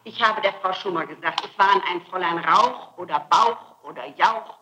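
A woman's voice: fairly low pitch, moderate pace. A dull, echoey 1960s tape recording carries the voice of a middle-aged woman under questioning. She answers insistently and defensively, often breaking off to repeat herself, with faint noise behind her. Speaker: suspect being questioned. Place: indoor room, archival interrogation tape. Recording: muffled, noisy 1960s tape in an echoey room.

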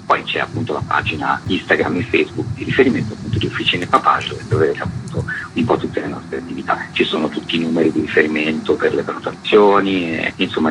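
A man's voice: a bass voice, fairly bright tone. A man giving wordy, spontaneous explanations as a festival organiser being interviewed. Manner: wordy, repeatedly uses a filler word. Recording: clearly noisy background.

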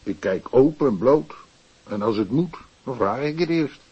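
An actor playing a wry, down-to-earth middle-aged widower in a radio drama, plain-spoken and terse. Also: male voice, medium pitch, lively intonation, moderate pace, slightly rough, highs cut off. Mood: frank. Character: wry, down-to-earth widower, night worker who paints.

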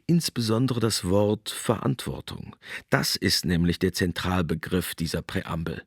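A man's voice: sits low, expressive, measured pace, vocal fry, slightly rough, no echo. A man giving essayistic commentary in a dry, explanatory way.

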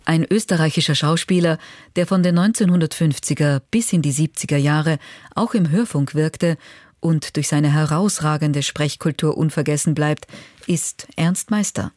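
A woman's voice: low voice, normal speed, a little mellow, dry recording, clean studio sound. A woman presenting a radio magazine with a scripted, factual and precise delivery.

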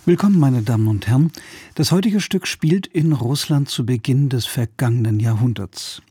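A man presenting a radio play with a formal, scripted introduction and deliberate phrasing. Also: medium pitch, dark tone, breathy edge, no echo.

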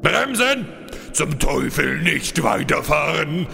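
Man as very creaky, exasperated instructor. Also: fairly high pitch, very animated, takes it slowly, pushed and bright, slight background noise, exaggerated voice. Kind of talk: comedy fantasy audio play.

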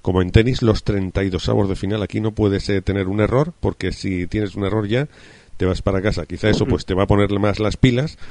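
A man's voice: on the low side, steady tone, fairly quick, darkish tone, no echo. A man with an accent from Spain, hosting a casual radio football discussion in an effusive, colloquial way.

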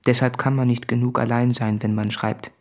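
A man's voice: mid-range voice, level tone, normal speed, no echo, studio quality, natural voice. A man acting an anguished, self-tormenting writer in a literary radio drama, brooding and intimate, often sinking into whispers. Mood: withdrawn.

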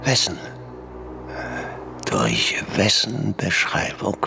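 A man's voice: deep voice, big pitch swings, very slow, over music, heavily acted. An actor playing a sinister, malicious younger man in a German radio play, mocking and menacing, hissing and dropping into whispers. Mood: suspicious, menacing. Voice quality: gravelly, hissing, breaking into whispers.

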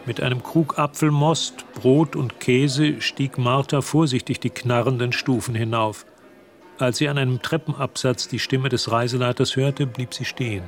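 A man's measured narrating voice in a radio play, performed by an actor. It sits at a middle pitch and tells a mystery tale, with music clearly playing behind it. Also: fairly even intonation, close to an everyday voice. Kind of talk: scripted radio drama narration.

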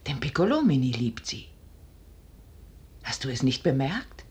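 A woman's voice: very deep voice, big pitch swings, a little slow, darkish tone, slightly rough, heavily acted. An actress playing a keen, outspoken noblewoman with pointed theatrical delivery in a 1950s German radio play. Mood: pointed, revealing. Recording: noisy 1950s radio drama recording.

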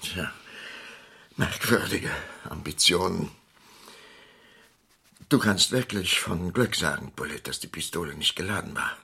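A man playing a smug, cheating wealthy husband in a German radio crime play, irritable and uneasy, with a gravelly, husky voice. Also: low-pitched, animated, unhurried, pauses often, sharp tone, heavily acted.

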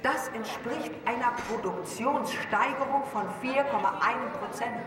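Heavily echoing and set in a crowd's din, a female propaganda announcer speaks from a loudspeaker. Her high voice is proud, formal and declamatory, as in a dystopian radio play. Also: measured pace. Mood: proud, official. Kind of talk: official public announcement in radio drama. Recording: echoey hall, distant, crowd din behind.

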